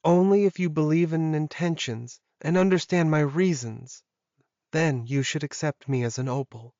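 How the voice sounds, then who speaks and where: Man voicing a soft, higher-pitched earnest young werewolf woman. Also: moderate pace, no room sound, lo-fi sound, lightly acted. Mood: confessing, pleading. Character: earnest young female werewolf, vulnerable, pleading.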